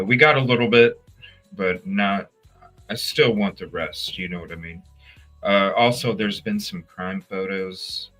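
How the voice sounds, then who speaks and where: A man hosting a casual live stream, sounding stuffy and congested, rambling with halting restarts. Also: sits low, sharp tone. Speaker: livestream host.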